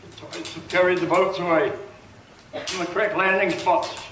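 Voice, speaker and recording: A man's voice: high-pitched, fairly even intonation, normal speed, background noise, lightly acted. A man playing a worried British admiral who reports events in a matter-of-fact way. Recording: echoey hall.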